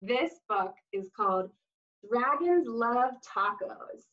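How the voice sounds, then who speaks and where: A woman in her thirties reading a picture book aloud to young children, expressively, with exaggerated emphasis and drawn-out words. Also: a little high, lively intonation, a bit bright, dull audio. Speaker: children's storytime reader. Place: small room indoors, seated on floor.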